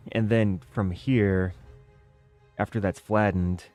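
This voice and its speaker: Low mellow guy chatting thru his game, laid back, quiet music behind. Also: slow, creaky. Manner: relaxed, musing, self-doubting asides. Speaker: gaming video creator. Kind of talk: casual gameplay commentary.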